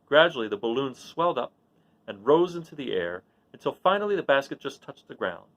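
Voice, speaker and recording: A man's voice: mid-range voice, sing-song, fairly quick, a bit bright, dull audio. A man hosting a read-aloud series, narrating a storybook in the role of storyteller. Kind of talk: storybook narration with informal asides.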